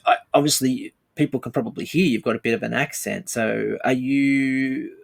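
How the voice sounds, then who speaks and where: A man hosting a podcast in casual chat, talking in a halting way and repeating words as he goes. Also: mid-range voice, fairly even intonation, vocal fry. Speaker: podcast host.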